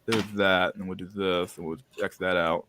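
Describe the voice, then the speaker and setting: A man's voice: low-pitched, level tone, moderate pace, fairly bright tone, a little hiss or hum. A man chatting casually as the host of a live stream. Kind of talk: casual chat. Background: background music.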